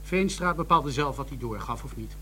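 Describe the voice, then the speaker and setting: An actor playing a defensive, indignant gossip magazine editor in a radio drama. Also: male voice, medium pitch, lively intonation, moderate pace, bright, forceful tone, a little hiss or hum. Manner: stiff, indignant. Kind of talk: 1990s radio drama acting.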